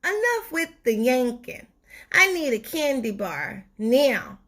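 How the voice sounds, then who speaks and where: Woman putting on a loud, demanding, high-pitched sweet tooth. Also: very animated, slow pace, full character voice. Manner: whiny, impatient, bossy.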